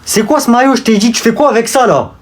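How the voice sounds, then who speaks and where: A brash, cocky young guy played by a man in a comedy sketch, with a French accent and a slightly high voice. He talks in street slang and challenges people with insistent questions. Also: lively intonation, a little fast, darkish tone, close to an everyday voice. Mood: confrontational. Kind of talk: acted social-media comedy sketch.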